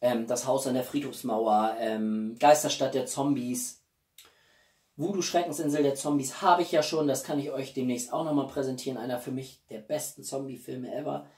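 A man's voice: mid-range voice, fairly even intonation, moderate pace, a little mellow. Man chatting from across a roomy space. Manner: opinionated, colloquial, emphatic. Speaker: YouTube film reviewer and channel host. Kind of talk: casual chat to camera about a film collection. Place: small room at home, indoors. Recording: roomy, distant microphone.

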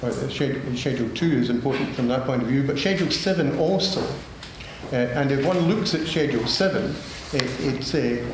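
Older male panelist, slow, halting delivery with lots of ums, recorded from afar in a reverberant hall. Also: medium pitch. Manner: halting, many filler sounds, measured. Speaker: panel speaker. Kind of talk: policy panel talk. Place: large formal room with audience. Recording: echoey hall, room noise.

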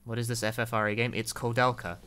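A man's voice: medium pitch, level tone, fast, sharp tone, slight background noise. A young man giving casual, rambling game commentary as a speedrun streamer, wry and playful. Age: young adult. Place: indoor room at home. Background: game music.

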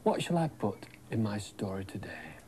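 Lively British man telling children's stories. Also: on the low side, normal speed. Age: middle-aged. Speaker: children's TV presenter and storyteller. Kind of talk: gentle scripted storytelling to young children.

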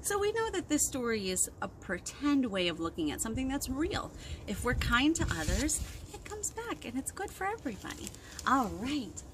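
Woman, children's storytime host, very lively intonation, sing-song, question-filled delivery, windy noisy outdoor recording. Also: sits high, moderate pace. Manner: expressive, sing-song, full of questions and gasps. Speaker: children's librarian hosting storytime. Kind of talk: interactive storytime for young children. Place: outdoors on a wooden deck by trees. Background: wind and outdoor ambience.

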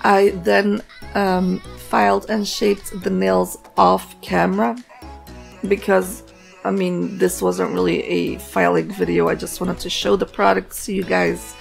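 Raspy, hoarse woman with a gravelly voice. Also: medium pitch, unhurried, faint music behind. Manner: relaxed, chatty, many fillers. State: hoarse from a cold. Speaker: nail art tutorial host. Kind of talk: casual voice-over tutorial narration.

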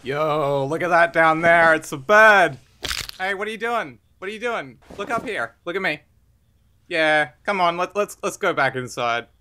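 Guy doing loud crude crime boss for a joke game dub, high forceful voice, rambly and sweary. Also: lively intonation, moderate pace, slight background noise. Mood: casual. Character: brash, crude, bullying male meat-selling crime boss. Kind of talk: improvised fan dub comedy.

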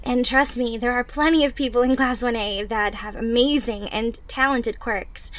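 A woman's voice: on the high side, steady tone, moderate pace, lightly acted. A woman playing an upbeat, encouraging teenage girl superhero trainee in an anime fan roleplay, coaching the listener directly in a bubbly manner. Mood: encouraging, explaining. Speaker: audio roleplay performer.

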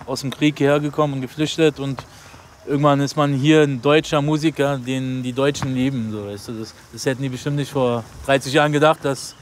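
A young man talks in an easygoing, conversational way during an outdoor interview. His pitch is middling for a man. Faint city ambience sits behind him. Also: brisk, a little hiss or hum. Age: young adult. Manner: relaxed, conversational, says "weißt du" often. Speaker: rapper. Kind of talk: casual interview. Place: outdoors in an urban garden.

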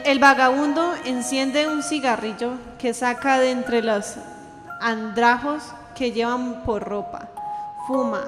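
Female narrator, young adult, radio play. Raised pitch, reverberant room. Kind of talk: scripted radio drama narration. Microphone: handheld microphone. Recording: echoey hall-like room, music behind.

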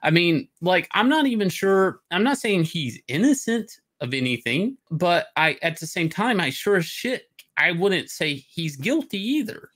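A man hosting a livestream, giving a casual, opinionated rant in an emphatic, halting way with some swearing. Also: sits high, big pitch swings, fairly bright tone. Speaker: stream host. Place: indoor room, livestream on webcam.

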